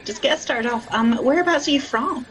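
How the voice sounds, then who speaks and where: A host running an improvised comedy interview in a deadpan, teasing way with absurd jokes. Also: sits high, normal speed, faint music behind, rough recording.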